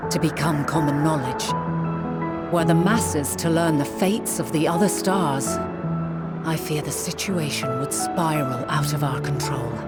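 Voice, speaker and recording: Low-voiced, solemn woman leader. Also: loud music behind the voice. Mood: grave, resolute. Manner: measured, solemn, formal archaic diction. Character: wise, resolute ancient woman leader, grave yet hopeful. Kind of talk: dramatic scripted game acting.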